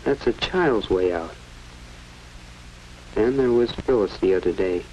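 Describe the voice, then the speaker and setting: An actor playing an earnest, self-questioning American teenage schoolboy in a polite, musing 1940s educational film style. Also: male voice, lowish voice, unhurried, a little mellow, natural voice. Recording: muffled, noisy 1940s film soundtrack.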